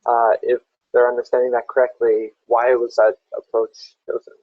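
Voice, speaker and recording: A man's voice: mid-range voice, monotone, moderate pace, no echo. A man moderating a webinar, briefly and politely reading out viewer questions. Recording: dull lo-fi webinar audio.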